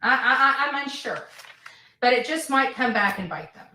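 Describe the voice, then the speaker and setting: A woman giving an unscripted monologue in halting, deliberate, broken phrases, recorded in an echoey room away from the microphone. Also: high voice, lively intonation, sharp tone. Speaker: online livestreamer. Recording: echoey room, distant mic.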